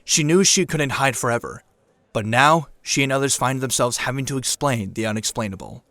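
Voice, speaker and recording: A man's voice: medium pitch, a little fast, bright, forceful tone, no room sound. A man reading scripted dramatic narration as the narrator of an audio drama.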